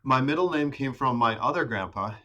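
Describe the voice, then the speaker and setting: A man in his thirties, a podcast guest, talking casually about growing up in the rainforest, with many pauses and restarts. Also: medium pitch, expressive, bright, forceful tone, highs cut off. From Ecuador. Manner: hesitant, many fillers and restarts. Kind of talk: casual conversation.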